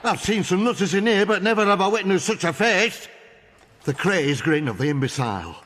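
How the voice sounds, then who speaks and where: A man's voice: high-pitched, animated, moderate pace, a bit bright, big-room sound, a little hiss or hum. A man playing an anxious, blustering village mayor with a Northern English accent and folksy, dramatic manner in a video game cutscene. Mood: horrified. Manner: dramatic, exclamatory, folksy dialect.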